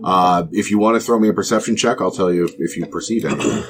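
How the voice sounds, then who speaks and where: A man narrating a tabletop role-playing game as game master, explaining scenes in a halting, explanatory way. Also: deep voice, a crowd in the background.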